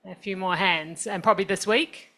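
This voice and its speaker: A woman moderates a fireside chat on stage, friendly as she puts questions to the panel. Her voice is bright and forceful at a middle pitch. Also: normal speed.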